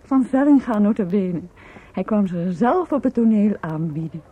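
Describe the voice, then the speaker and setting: An actress playing a composed middle-aged mother in a radio play, chatting gently in an unhurried, conversational way. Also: medium pitch, animated, husky. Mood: chatty, amused. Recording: dull, muffled old radio recording.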